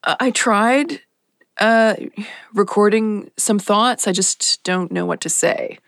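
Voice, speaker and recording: A woman's voice: mid-range voice, fairly bright tone, no room sound, clean studio sound. A woman playing a sleepless, troubled police officer who talks in a hesitant, evasive way in an audio drama. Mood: uneasy, hesitant. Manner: hesitant, halting, stammering. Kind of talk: naturalistic audio drama acting.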